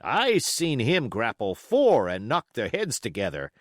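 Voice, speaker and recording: A man voicing an admiring old ship's coxswain with rough, colloquial sailor's talk in a storybook reading. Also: mid-range voice, huge pitch swings, measured pace, no room sound, clean studio sound.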